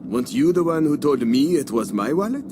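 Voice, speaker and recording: An actor playing a smooth foreign con man in an English anime dub who teases the other man with amusement. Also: a little high, very animated, brisk, noisy background. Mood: breezy. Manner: teasing, amused. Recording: dub clip with music underneath.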